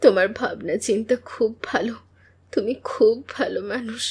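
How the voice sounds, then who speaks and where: A woman's voice: on the high side, normal speed, darkish tone, husky. A woman playing an earnest, anxious young village sister in a cartoon drama, speaking in an emotional, pleading way. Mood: weak, grateful.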